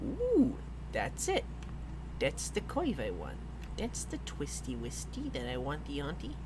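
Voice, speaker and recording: A man's voice: a little high, huge pitch swings, a little slow. A man in his twenties chatting casually and playfully while drawing on a stream. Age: 20s. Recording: clear background noise and hum.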